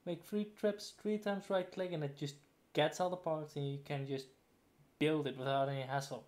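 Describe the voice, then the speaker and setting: Higher-voiced man in casual voice chat. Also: fairly even intonation, moderate pace, a little mellow. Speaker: fellow player.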